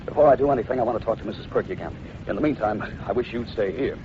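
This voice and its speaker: An actor playing a brisk, shrewd, polite Mountie sergeant in an old-time radio drama. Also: male voice, on the low side, lightly acted. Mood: businesslike. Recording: dull old radio recording, faint noise.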